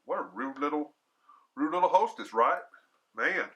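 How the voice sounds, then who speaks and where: A man's voice: a little high, animated, unhurried, sharp tone. A man reading a story aloud theatrically as a storyteller, with casual, slangy, chatty asides. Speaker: storyteller, horror show host.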